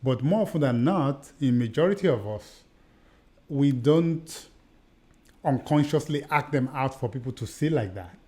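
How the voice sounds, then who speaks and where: A man's voice: mid-range voice, lively intonation, measured pace, dark tone. A middle-aged man with a Nigerian accent who grew up in Nigeria. He co-hosts a podcast and tells stories earnestly, often repeating and restarting his phrases. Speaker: podcast co-host. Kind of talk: conversational podcast discussion.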